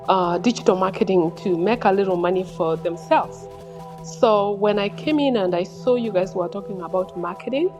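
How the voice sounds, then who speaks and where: Middle-aged woman from Kenya, hesitant. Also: mid-range voice. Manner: hesitant, many fillers and restarts. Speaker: audience member. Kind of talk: casual chat.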